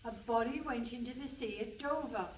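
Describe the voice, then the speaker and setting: Radio comedy role: bossy older English female detective played by an actress, brisk and dry, high voice, distant stage mic. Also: lightly acted. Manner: brisk, dry, condescending, calling people pet and love. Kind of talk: comic radio play before a live audience. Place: hall stage with a live audience. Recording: distant stage pickup with some noise.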